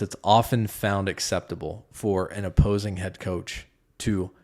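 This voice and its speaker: A man's voice: low voice, gravelly, a little mellow. A man talking as a podcast guest in casual conversation, hesitant, with many fillers and restarts.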